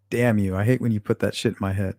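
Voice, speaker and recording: A man's voice: on the low side, a little mellow, no room sound, studio quality. Blunt, profane male livestream host. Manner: blunt and profane, talks back to chat. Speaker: channel host reading live chat. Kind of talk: casual livestream chat.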